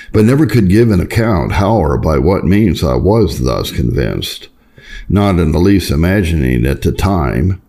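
A man reading an old religious memoir aloud as an audiobook narrator, in a solemn, measured and formal way. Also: low voice, vocal fry, a little mellow. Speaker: audiobook reader. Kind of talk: scripted reading of a Puritan memoir.

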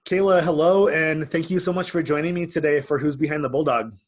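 A man hosting a school community interview podcast as an assistant principal, with a measured, upbeat delivery. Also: on the high side, steady tone. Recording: music bed under the voice. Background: background music.

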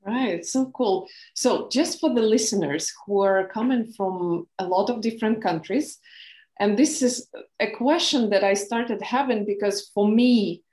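A woman's voice: mid-range voice, a little slow, a little mellow, reverberant. A woman host with a Ukrainian accent, a native Ukrainian speaker, asking interview questions and searching for words as she clarifies. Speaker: podcast host.

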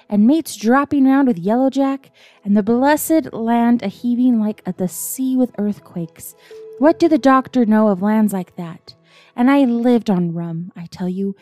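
A woman's voice: fairly high pitch, warm tone, lightly acted. A woman reading as an ailing old sea captain. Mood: scornful. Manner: pleading and cursing, rough seafaring speech. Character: ailing old male sea captain, rum-craving, blustering. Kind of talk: storybook reading.

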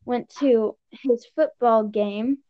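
A teenage girl chatting with a friend, hesitant and halting as she searches for words. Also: on the high side. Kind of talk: casual chat between friends. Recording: dull lo-fi recording.

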